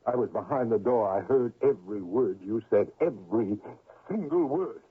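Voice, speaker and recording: A stern old backwoods father, husky and rural American, speaks with grieving, accusing weight. The delivery is dramatic radio acting, heard through a muffled, phone-like old broadcast recording. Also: medium pitch, animated, moderate pace. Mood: bitter, resolute. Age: older.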